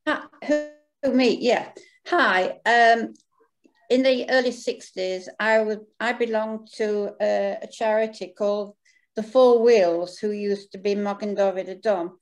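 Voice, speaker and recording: A woman's voice: medium pitch, steady tone, a little slow. An older woman from the north of England with a Northern English accent, sharing memories cheerfully as an audience member on a video call. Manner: cheerful, laughs easily.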